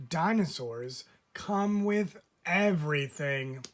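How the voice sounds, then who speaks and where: A man's voice: fairly high pitch, animated, slow. A man reading a picture book aloud, voicing a friendly, matter-of-fact nurse. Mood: cheerful. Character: cheerful nurse, adult.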